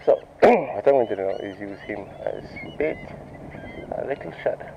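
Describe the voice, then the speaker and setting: A man from Jamaica casually narrating his fishing trip from a rocky shore, talking to the viewers in a relaxed, muttered way. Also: fairly low pitch, moderate pace. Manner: relaxed running commentary, often muttered. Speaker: fishing vlogger. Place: rocky shore by a bridge, outdoors. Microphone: head-mounted action camera. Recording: lo-fi action-camera audio with outdoor noise.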